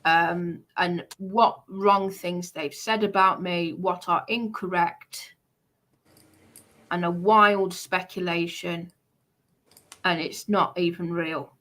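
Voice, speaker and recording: A woman delivering an unscripted, confrontational rant to online trolls, scolding in a halting way and repeating her points for emphasis. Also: lowish voice, steady tone, a bit bright.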